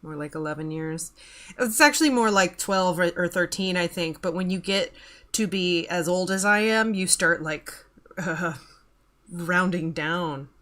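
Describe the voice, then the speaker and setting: A woman's voice: lowish voice, measured pace, fairly bright tone. Chatty rambling woman in her thirties. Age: 30s. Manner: rambling, swears casually, reads chat aloud. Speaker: streamer. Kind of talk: casual chat while working.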